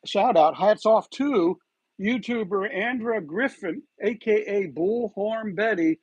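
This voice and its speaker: A man's voice: high-pitched, takes it slowly, husky, no room sound. A man hosting his own online commentary show, talking emphatically and often asking his viewers whether they follow him. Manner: emphatic, rhetorical, keeps asking "you feel me there?". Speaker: YouTube channel host. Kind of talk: scripted commentary monologue.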